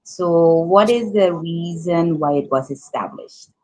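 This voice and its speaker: Slow, low-voiced woman panelist. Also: darkish tone, low-quality recording. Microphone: video call.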